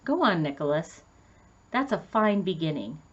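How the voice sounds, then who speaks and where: Prim, animated schoolteacher lady played by a narrator. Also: fairly low pitch, moderate pace, slightly rough, lo-fi sound, voice pushed down. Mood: approving. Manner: formal, proper, correcting. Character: prim, strict but approving female schoolteacher.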